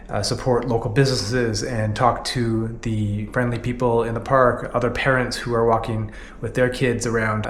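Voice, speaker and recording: A man's voice: medium pitch, a bit bright, echoey room, slight background noise. A Canadian man in his thirties, earnestly narrating his own vlog in a candid way, often dropping "you know" as he talks. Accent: Canadian English. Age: 30s. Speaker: vlogger. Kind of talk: reflective personal vlog narration.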